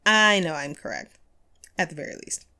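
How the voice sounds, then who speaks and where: A woman's voice: lowish voice, normal speed, bright, forceful tone, clear, resonant tone. A woman playing a chatty, upbeat ghost of a radio host and ghost hunter in an audio roleplay, playful and musing in manner. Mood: musing, proud. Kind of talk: scripted one-sided audio roleplay, conversational.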